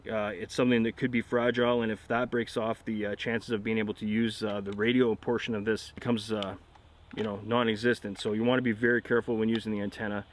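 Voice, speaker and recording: A man's voice: fairly low pitch, level tone, quick pace, a little hiss or hum. A middle-aged man reviewing a product in a casual, conversational, explanatory way.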